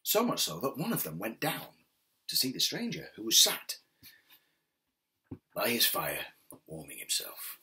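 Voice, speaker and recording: A middle-aged man with a husky, breathy voice telling a story expressively and without hurry, with dramatic pauses. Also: on the low side, huge pitch swings, bright, forceful tone. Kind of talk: oral storytelling for families. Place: indoors, small wood-panelled home room. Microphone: webcam at desk distance.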